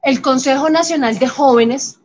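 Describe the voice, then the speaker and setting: A woman's voice: high-pitched, moderate pace, a little mellow. Upbeat woman interpreter. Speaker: sign language interpreter voicing the host. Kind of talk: live voice interpreting. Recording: slightly muffled audio, faint background noise.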